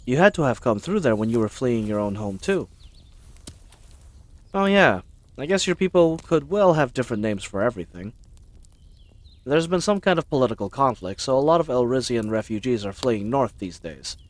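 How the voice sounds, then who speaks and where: Man playing a bumbling, babbling knight, animated delivery. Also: mid-range voice, normal speed, long pauses, dry recording, natural voice. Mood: chatty, curious. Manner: chatty, rambling, hesitant, flustered. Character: friendly bumbling babbling young-hearted male knight, eager and awkward. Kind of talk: fantasy audio roleplay addressed to the listener.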